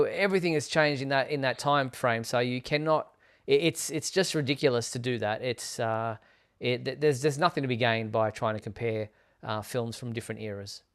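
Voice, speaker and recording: A man with a clear, resonant, mid-pitched voice hosts a casual fan discussion. He explains his points in a measured manner, with halting phrasing as he finds his words. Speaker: host of a Superman fan live show.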